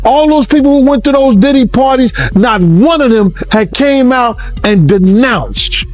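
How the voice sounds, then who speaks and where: A man's voice: very high-pitched, animated, unhurried, darkish tone, faint music behind. A man giving passionate, opinionated commentary, emphatically repeating his phrases.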